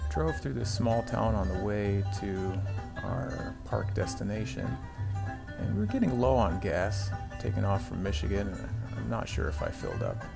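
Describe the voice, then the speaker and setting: A man's voice: on the low side, very animated, normal speed, creaky, over loud music. A middle-aged man from the United States narrating a travel vlog about a road trip in an easygoing, lightly wry way.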